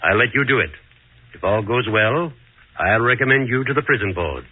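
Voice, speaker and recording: An actor playing a stern, sceptical lordship, pompous and measured in 1940s radio drama style. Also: male voice, medium pitch, animated, breathy edge, no echo. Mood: condescending. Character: stern, lofty male lordship, sceptical authority. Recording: dry, tinny 1940s radio recording.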